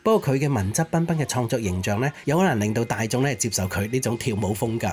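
A man's voice: medium pitch, expressive, fast, darkish tone. A man co-hosting a radio music show, giving conversational commentary on pop music history.

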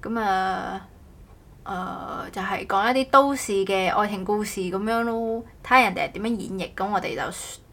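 A woman's voice: mid-range voice, moderate pace, a bit bright. A woman hosting a casual podcast chat in a playful, teasing way. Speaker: podcast host. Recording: clearly noisy background ambience.

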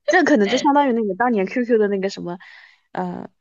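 A woman's voice: medium pitch, lively intonation, fast, darkish tone, no echo. Self-mocking woman, slightly muffled dry recording. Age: 30s. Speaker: podcast host. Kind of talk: casual chat between old friends.

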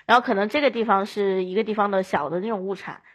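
A woman from Chongqing, China, chatting casually as a podcast guest in an animated storytelling way. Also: mid-range voice, fast talker.

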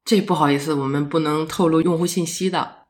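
一位女演员在广播剧里饰演闪送女快递员，说话口语化、直来直去，热心又有点较真。. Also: female voice, deep voice, steady tone, brisk, natural voice. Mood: businesslike, helpful. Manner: colloquial, blunt, good-natured. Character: chatty, straightforward female delivery courier, earnest and quirky. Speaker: courier in an audio drama. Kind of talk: naturalistic modern audio drama dialogue.

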